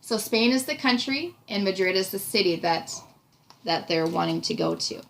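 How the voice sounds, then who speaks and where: A woman's voice: mid-range voice, expressive, normal speed, vocal fry. A woman in her thirties reading a picture book aloud to a child, encouraging and chatting as she explains and asks questions. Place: small room indoors. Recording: noisy home recording with room ambience.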